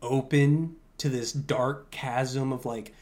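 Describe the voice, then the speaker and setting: A man's voice: mid-range voice, steady tone, takes it slowly, a little mellow. A young man hosting a podcast, talking casually and informally in a rambling way, with "like" sprinkled all through his sentences. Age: young adult. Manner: informal, conversational, heavy use of "like". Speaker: podcast host. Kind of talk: casual rambling podcast talk, answering listener questions.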